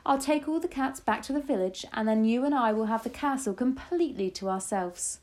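A woman's voice: fairly high pitch, fairly quick, a little mellow, pitched above the performer's own voice, close to an everyday voice. A woman voicing a feisty, fierce old grandmother with bold, impatient, gleeful declarations in a storybook reading. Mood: thrilled. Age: older. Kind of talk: storybook read-aloud.

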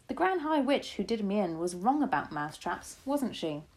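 A woman's voice: medium pitch, normal speed. A woman voicing an eager, clever and slightly anxious young boy turned mouse in a storybook reading. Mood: doubtful. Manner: excitable, earnest exclamations. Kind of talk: storybook read-aloud.

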